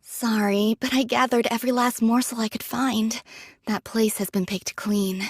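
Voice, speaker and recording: A woman's voice: fairly high pitch, steady tone, moderate pace, no echo, studio quality. Matter-of-fact young android soldier woman. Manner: casual, thoughtful, matter-of-fact. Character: pragmatic, modest young female android soldier, quietly caring. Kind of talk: anime game voice acting.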